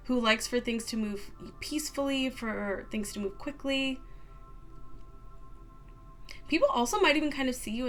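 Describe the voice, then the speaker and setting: Woman doing a tarot reading, bit scratchy from a cold, chatty and soft, faint music behind. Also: fairly high pitch. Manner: soft, upbeat, lots of "um" and "kind of". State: getting over a cold, a little scratchy. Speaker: tarot card reader. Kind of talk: casual tarot reading monologue.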